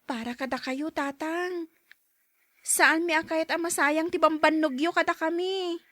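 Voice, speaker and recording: Woman acting high-voiced, earnest young schoolgirl daughter. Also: fairly even intonation, a little slow, bright, forceful tone, dry recording, heavily acted. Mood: earnest, affectionate. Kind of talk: Ilocano radio drama acting.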